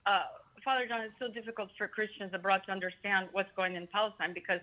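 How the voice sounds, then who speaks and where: A woman's voice: mid-range voice, steady tone, quick pace, no echo. A talkative woman from Greece giving informal personal testimony as a speaker, emphatic and given to digressions. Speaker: symposium speaker. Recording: thin phone-like call audio.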